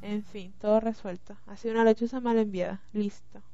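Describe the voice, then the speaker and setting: A woman acts out a bossy but forgiving young witch prefect in an amateur radio play. Her tone is very mellow, her manner dry and sardonic. The home-made recording is slightly muffled. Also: medium pitch, steady tone, a little fast, no echo, lightly acted. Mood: dismissive.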